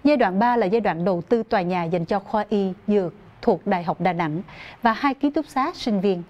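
A woman's voice: medium pitch, moderate pace, darkish tone. A young woman reading scripted news formally as an anchor in a TV studio.

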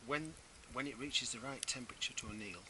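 A man's voice: mid-range voice, brisk, heavy background noise. A man giving a casual step-by-step how-to while working outdoors on a garden patio, often restarting phrases as he explains. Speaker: DIY video maker.

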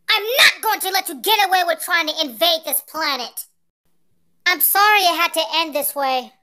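A performer using a pitched-up voice changer to voice a brave, cocky little alien boy warrior in dramatic anime-style character clips. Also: bright, forceful tone, exaggerated voice. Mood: stern, regretful. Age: child character.

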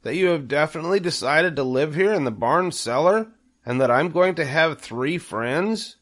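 A man's voice: on the high side, lively intonation, moderate pace, a bit bright, voice pushed up. A man voicing a sentimental, tender-hearted young pig in a storybook, speaking with great earnestness. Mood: hopeful, delighted.

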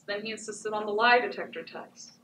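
A teenage woman playing a haunted, self-blaming battered wife in a measured, emphatic stage monologue. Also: mid-range voice, a bit bright, natural voice. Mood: anguished, bitter. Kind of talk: high school stage monologue. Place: indoor theatre stage. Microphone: far camera. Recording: echoey noisy theatre, distant microphone.

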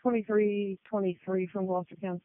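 A man's voice: high-pitched, level tone, brisk. A male county fire dispatcher speaking in clipped phrases over two-way radio. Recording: tinny narrow dispatch radio channel.

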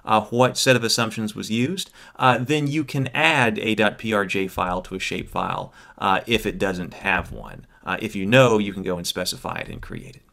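A man in his thirties giving an explanatory lecture as a course instructor, with a hesitant, halting delivery and emphatic repetition. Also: fairly low pitch, lively intonation, gravelly, fairly bright tone.

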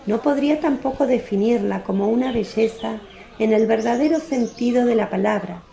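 A woman narrating a religious radio drama from a script, with a solemn, deliberate literary delivery. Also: a little high, vocal fry, dark tone, echoey room.

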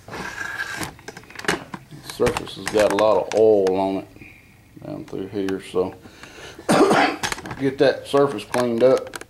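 A lowish-voiced man with a drawl explains his work in a plain, unhurried way, thinking aloud as he goes. He is recorded on a camera mic in a garage workshop with a faint hiss behind him. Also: darkish tone. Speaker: home mechanic demonstrating an engine rebuild. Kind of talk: casual hands-on how-to. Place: barn or garage workshop, indoors.

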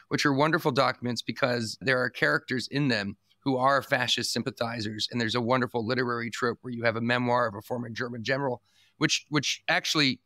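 Bright-voiced male podcast host, measured. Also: medium pitch, no echo, studio quality. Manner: measured, restarts words, lays out arguments at length. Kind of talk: podcast interview.

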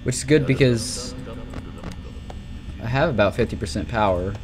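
A man's voice: medium pitch, moderate pace, noisy background. A young man giving casual, rambling live commentary on a horror game, nervous and exclamatory, with some swearing. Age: young adult. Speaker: gaming streamer.

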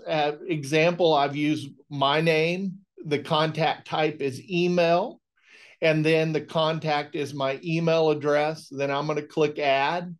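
A middle-aged man giving a technical training presentation on a video call, explaining in a measured way. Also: fairly high pitch. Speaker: technical trainer, remote monitoring specialist. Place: indoor room on a video call.

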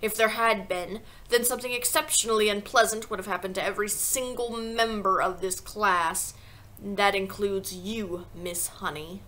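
A woman's voice: medium pitch, steady tone, normal speed, bright, forceful tone, natural voice, rough recording. A woman voicing a huge, bullying headmistress in an audiobook, barking scornfully and explosively with heavy emphasis. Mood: menacing. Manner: barking, emphatic, insult-hurling. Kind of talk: audiobook character voice.

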